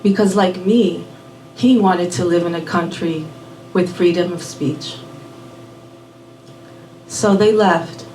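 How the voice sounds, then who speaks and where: A woman raised in Miami telling a personal story live at a small venue, unhurried and measured, dropping in Spanish words. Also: mid-range voice, a little mellow, a little hiss or hum. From born in Puerto Rico, raised in Miami. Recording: roomy venue audio from a distant mic.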